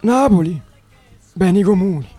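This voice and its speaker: Shrill excitable professor, voice leaps all over, dark and dry. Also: male voice, unhurried, breathy edge, no room sound, faint music behind, heavily acted. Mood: chanting. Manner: chanting the same catchphrase over and over. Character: squeaky excitable male professor, repetitive.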